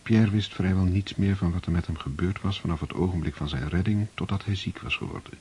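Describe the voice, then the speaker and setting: A man narrating a radio play in a measured, solemn way. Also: deep voice, warm tone, husky.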